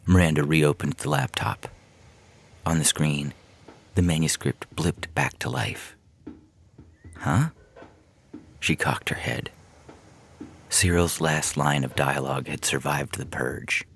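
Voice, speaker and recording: A man's voice: extremely low pitch, slow pace, long pauses, fairly bright tone, slight background noise. A man reading scripted fiction aloud in a dramatic narration style, as a podcast story reader. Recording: music bed behind the voice. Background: music.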